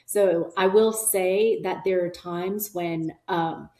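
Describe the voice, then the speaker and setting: A middle-aged woman whose first language is Korean, speaking as a company leader in an interview, earnest and measured, often affirming with "yeah". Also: fairly low pitch, fairly even intonation, darkish tone. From South Korea, raised in Arkansas. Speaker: company CEO, podcast guest. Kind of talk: reflective conversational interview. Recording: roomy echo.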